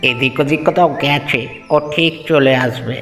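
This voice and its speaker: A man's voice: medium pitch, normal speed, fairly bright tone, long reverb tail, soft background music, natural voice. A man voicing a reassuring village neighbor. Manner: reassuring, soothing. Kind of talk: Bengali cartoon dubbing.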